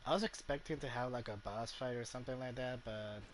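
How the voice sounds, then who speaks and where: A man's voice: mid-range voice, a little fast. A man giving casual, hesitant gameplay commentary as a streamer, often searching for words. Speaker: game streamer. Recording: clearly noisy home recording with ambience.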